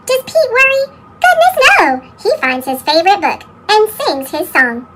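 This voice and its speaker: A woman reads children's picture books in an animated, question-and-answer storytelling manner, her voice altered with a sped-up chipmunk effect. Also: very high voice, a little hiss or hum. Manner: exclaiming, question-and-answer delivery for young children. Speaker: children's picture book reader. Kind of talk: animated storybook narration with sung refrains.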